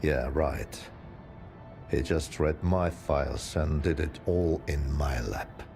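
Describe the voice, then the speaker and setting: A tired, regretful game scientist with an extremely deep voice. He talks slowly and with resignation over faint music. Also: creaky, a little mellow, heavily acted. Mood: resigned. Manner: slow, resigned, sighing. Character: weary, regretful gruff male scientist. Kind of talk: video game dialogue acting.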